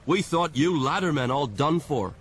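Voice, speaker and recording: A man's voice: a little high, lively intonation, normal speed, husky. An actor playing a plain-spoken, shaken army corporal, telling his war story earnestly and emphatically in a radio drama. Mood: urgent, shaken. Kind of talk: dramatic radio monologue, memoir testimony. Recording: noisy radio drama recording.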